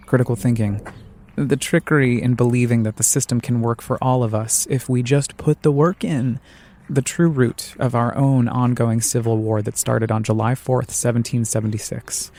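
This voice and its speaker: A man hosting a podcast, reading scripted, reflective narration in a measured, earnest way. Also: medium pitch, dark tone. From United States.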